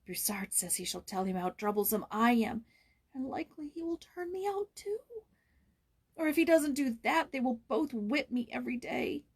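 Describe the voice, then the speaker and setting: A small, mistreated boy, acted out by a woman narrator in a storybook reading. The voice is raised high, timid and tremulous, with a sorrowful, fearful edge. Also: lively intonation, moderate pace, husky, exaggerated voice. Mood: tremulous, dejected. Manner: tremulous, sometimes dropping to a hushed whisper.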